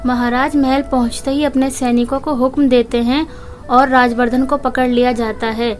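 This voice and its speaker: A woman's voice: high-pitched, little pitch movement, normal speed. A woman narrating a children's moral story from a script, as a storyteller. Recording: music behind the voice. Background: background music.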